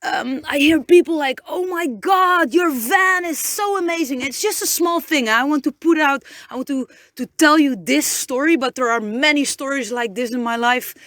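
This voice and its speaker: A young woman with a Dutch accent talks casually, often stopping and restarting as she hunts for the right words. Her voice sits slightly high, and the sound is dry and close, with little room around it. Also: moderate pace, few pauses, fairly bright tone, no echo. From Netherlands. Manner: chatty, searching for words, many restarts and fillers. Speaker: podcast host. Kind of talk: casual solo podcast monologue. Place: inside a camper van in the rain.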